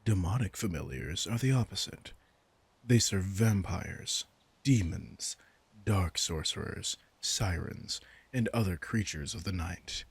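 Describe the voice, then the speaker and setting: Low, husky, unhurried vampire king played by a man. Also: animated, no echo, full character voice. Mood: smug, explaining. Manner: unhurried, smug, casually menacing. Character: commanding, teasing male vampire king, smug predator. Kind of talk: roleplay audio drama, addressing the listener.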